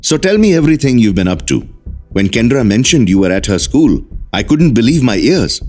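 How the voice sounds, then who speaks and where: A man playing a concerned, kindly doctor and mentor in an audio drama, sympathetic and expressive. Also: mid-range voice, fairly quick, faint music behind. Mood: sympathetic, curious.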